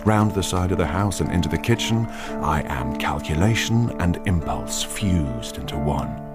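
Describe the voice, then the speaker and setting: Radio drama narrator: darkly literary English storyteller, low register, over clear music. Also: male voice, lively intonation, moderate pace. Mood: calculating. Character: calculating crime-writer narrator, darkly reflective.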